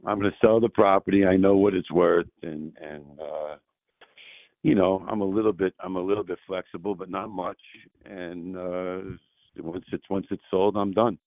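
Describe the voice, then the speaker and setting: Property owner, 60s, low and gravelly, dry phone-line sound, chatty, restarts a lot. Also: male voice, fairly even intonation, normal speed, dry recording. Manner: rambling, restarts often, laughs easily. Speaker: property owner on a sales call. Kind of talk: casual phone conversation.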